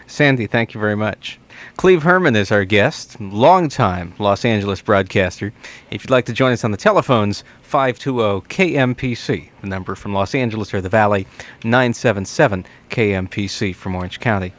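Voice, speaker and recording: A man's voice: fairly low pitch, expressive, normal speed, fairly bright tone. A man hosting a radio interview in a conversational style.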